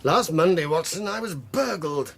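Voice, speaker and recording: An actor playing an irascible older English country squire in a radio drama, gruff and indignant, with a raspy, gravelly voice. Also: male voice, a little high, animated, normal speed, exaggerated voice. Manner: gruff, indignant, old-fashioned bluster.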